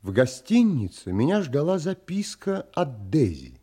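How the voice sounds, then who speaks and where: An actor playing a pensive, tender gentleman who narrates his own story in an audio play, measured and reflective. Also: fairly high pitch, big pitch swings, breathy edge, exaggerated voice.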